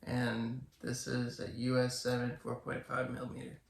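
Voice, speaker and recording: A man's voice: mid-range voice, monotone, moderate pace, vocal fry, roomy sound, slight background noise. A young man co-hosting a casual podcast, talking hesitantly with many restarts and fillers. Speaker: podcast co-host. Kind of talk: casual chat.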